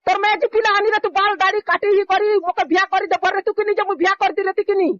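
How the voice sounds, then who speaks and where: Sambalpuri woman scolding on a muffled phone call recording, talks really fast, keeps hammering the same point. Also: extremely high pitch, level tone, fairly bright tone, no echo. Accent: Sambalpuri (western Odisha). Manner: scolding, accusatory, insistent, repeats phrases. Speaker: caller in a family dispute. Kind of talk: heated argument on a phone call.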